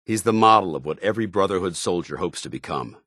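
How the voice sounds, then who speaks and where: A stern, loyal male military officer from a video game. His voice sits low and is smooth, never muddy. He speaks in formal, clipped, earnest phrases, as a dutiful soldier would, in a very dry studio sound. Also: animated, fast, fairly bright tone, no echo. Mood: commanding, proud. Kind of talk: video game companion dialogue.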